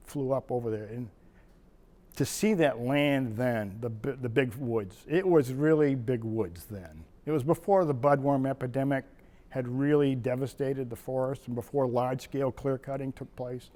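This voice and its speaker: A man's voice: mid-range voice, moderate pace, mellow. Older man spinning a rambling story. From Maine, USA. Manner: rambling, chuckling storyteller with frequent restarts. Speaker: retired wildlife biologist. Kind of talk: reminiscing personal story.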